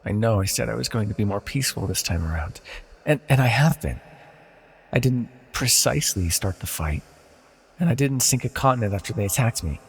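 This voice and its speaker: Casting: male actor as ancient villain sorcerer for fantasy roleplay audio. Low, gravelly, formal, possessively tender, condescending, drenched in reverb. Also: animated, a little fast, full character voice. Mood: teasing, condescending. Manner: soft-spoken, condescending, theatrically formal. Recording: long hall-like reverb.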